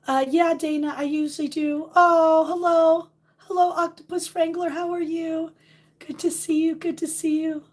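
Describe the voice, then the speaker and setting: A middle-aged woman with a high, hoarse voice hosts a casual livestream. She rambles warmly and greets her viewers by name as she chats. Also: little pitch movement, moderate pace. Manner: warm, rambling, reads out viewer names. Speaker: livestream host. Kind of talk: casual live chat with viewers.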